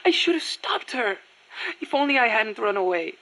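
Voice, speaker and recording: Young boy played by a woman: high voice, earnest, guilt-ridden, halting emotional delivery, game drama. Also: big pitch swings, a bit bright, rough recording. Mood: regretful. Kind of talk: video game audio drama acting.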